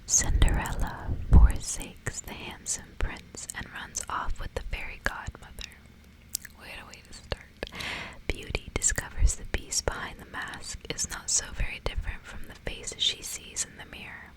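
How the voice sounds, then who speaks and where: A woman hosting ASMR in a breathy whisper, chatting casually and reading from a book. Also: unhurried, rough recording. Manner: casual, rambling. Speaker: ASMR host.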